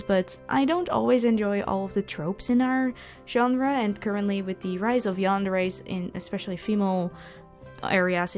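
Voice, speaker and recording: A woman talking casually and animatedly to her listeners, in a self-effacing way, like a creator answering questions. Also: mid-range voice. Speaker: audio roleplay creator, channel host. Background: background music.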